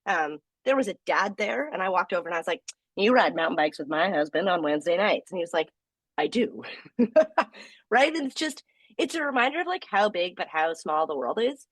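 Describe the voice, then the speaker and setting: Woman co-host, gravelly voice from a cold, lively storytelling, dry close sound. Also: lowish voice, a little fast, no echo. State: has a cold, voice deeper and gravelly. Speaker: podcast co-host. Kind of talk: casual podcast chat.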